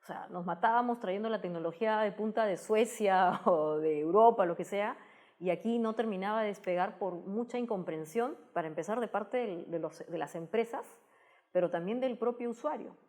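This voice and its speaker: A woman's voice: mid-range voice, moderate pace, darkish tone. Woman with a Peruvian accent. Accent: Peruvian Spanish. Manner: thoughtful, frequent restarts and tag questions. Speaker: guest, tech communicator. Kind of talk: interview answers, explanatory.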